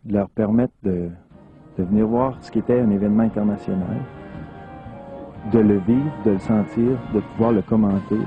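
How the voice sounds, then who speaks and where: A man's voice: lowish voice, fairly even intonation, moderate pace. A man reflecting on the aims of a youth radio station, thoughtful and explanatory. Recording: tinny band, faint music behind.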